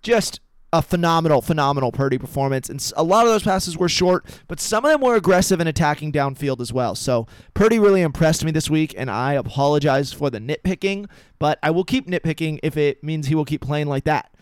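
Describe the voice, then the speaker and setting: A man hosts a sports podcast in a measured, analytical way. His voice is smooth and resonant, and it sits a little high. The sound is dry and close. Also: expressive, dry recording. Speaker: podcast host. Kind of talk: casual sports chat.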